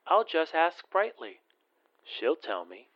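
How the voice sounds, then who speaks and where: Man playing an animated, earnest young fellow. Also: a little high, takes it slowly, no room sound, highs cut off, full character voice. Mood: concerned. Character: earnest, curious young man, friend of the reporter. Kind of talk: radio drama acting.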